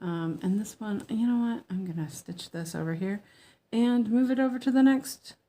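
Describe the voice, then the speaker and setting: A woman's voice: medium pitch, normal speed, mellow. An American woman chatting in a rambling, meandering way with her viewers as she stitches during a casual livestream.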